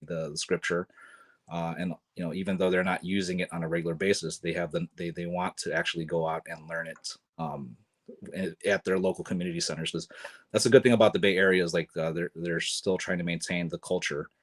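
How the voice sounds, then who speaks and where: A man's voice: deep voice, steady tone, brisk. A man in a casual podcast conversation, speaking thoughtfully with many fillers and restarts.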